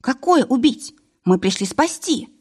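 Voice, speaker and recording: Narrator lady doing a high agitated young woman, anxious, quick to flare up, pleading, audiobook style. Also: very animated, darkish tone, slightly rough, heavily acted. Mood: outraged. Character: anxious, hot-tempered young woman, Varvara.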